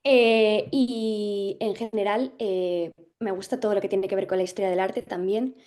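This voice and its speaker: A young woman from Galicia, an actress, talking through an interview thoughtfully, with frequent pauses and fillers as she searches for words. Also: mid-range voice, fairly even intonation, darkish tone. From Galicia, Spain. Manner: thoughtful, hesitant, many fillers and restarts. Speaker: actress guest. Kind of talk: casual interview chat.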